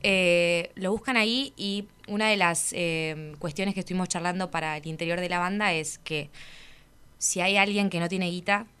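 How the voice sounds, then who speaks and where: A woman's voice: on the low side, fairly even intonation, normal speed, a bit bright, clear, resonant tone. A woman with a Rioplatense Argentine accent, chatting casually as a guest on a radio show.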